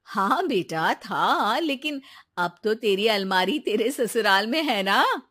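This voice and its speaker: Woman as a high-voiced, traditional mother. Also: animated, takes it slowly, fairly bright tone, exaggerated voice. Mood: soothing. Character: caring but traditional mother of a married daughter. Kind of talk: cartoon moral story acting.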